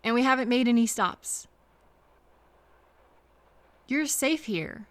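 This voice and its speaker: A woman acting as a kind female train passenger in an intimate audio roleplay. Her voice is high and soft, and she takes her time, hesitant and reassuring, leaving pauses for replies. The sound is close and dry. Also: lively intonation, no room sound. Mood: soothing. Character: kind, apologetic woman train passenger comforting a frightened stranger.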